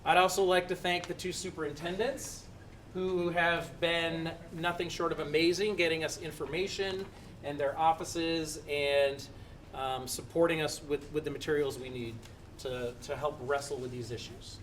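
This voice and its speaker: A man's voice: a little high, steady tone, moderate pace, fairly bright tone, far from the mic, slight background noise. A middle-aged man presenting at a school committee public meeting, earnest and thankful, with some restarts. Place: school building meeting room.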